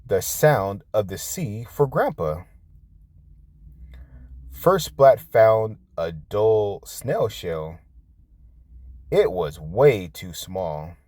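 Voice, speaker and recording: Slow, expressive low-voiced man reading a storybook. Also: no echo. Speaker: storybook reader, host. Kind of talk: children's storytime read-aloud.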